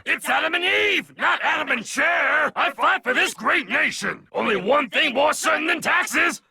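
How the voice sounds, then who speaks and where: An actor voicing a bombastic, self-righteous patriotic politician in a fighting game, declaiming pompously like a stump speech. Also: male voice, very high-pitched, lively intonation, normal speed, gravelly, pushed and bright, dry recording, shouting, heavily acted. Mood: pompous, defiant. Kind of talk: video game character battle lines.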